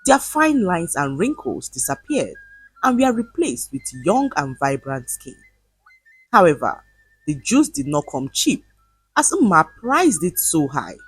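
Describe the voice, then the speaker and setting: A woman narrating a folktale from a script in an expressive, dramatic storytelling manner. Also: fairly low pitch, measured pace, slightly rough, faint music behind, low-quality recording.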